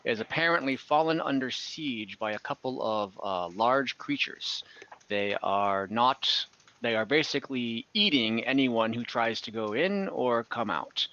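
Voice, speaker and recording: Man playing a businesslike adventurers' boss, bright voice. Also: fairly low pitch, dull audio. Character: businesslike male leader of a fantasy adventuring enterprise. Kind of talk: improvised tabletop role-play.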